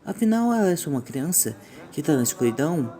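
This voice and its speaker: A woman's voice: low voice, big pitch swings, fast, dark tone, faint music behind. A Brazilian woman hosting a scripted online commentary on horror animation, with a theatrical storytelling manner. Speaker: YouTube host.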